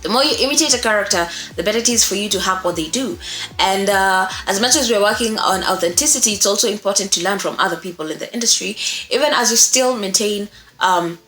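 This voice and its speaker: Casting: young adult woman, emphatic instructor, medium pitch, roomy sound with faint music behind. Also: quick pace, a bit bright. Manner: emphatic, often checking in with "okay?". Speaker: voice acting instructor, presenter. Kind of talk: instructional talk to camera. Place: small indoor room, seated. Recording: echoey room with faint music.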